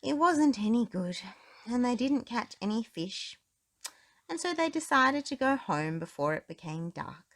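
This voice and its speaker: A woman narrating a children's storybook aloud in an expressive style. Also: fairly high pitch, measured pace.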